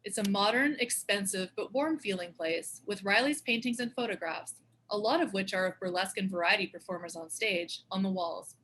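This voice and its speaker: A woman narrates a script's stage directions. Her voice is medium in pitch and bright, giving scene headings and actions as scripted narration. There is faint noise in the recording. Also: moderate pace.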